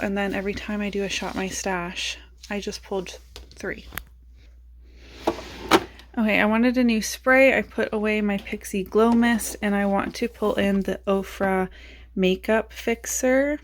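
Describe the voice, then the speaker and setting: A woman beauty vlogger chatting casually about her makeup, raspy as she loses her voice and thinks aloud in a self-mocking way. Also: medium pitch, fairly even intonation, normal speed, slight background noise.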